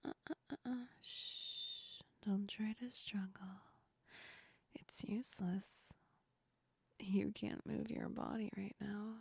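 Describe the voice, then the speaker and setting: A woman playing a teasing yet comforting female sleep demon in a whispered ASMR roleplay, breathy and soft, speaking close to the ear. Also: mid-range voice, dry recording, exaggerated voice. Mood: menacing, slow. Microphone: very close ASMR microphone.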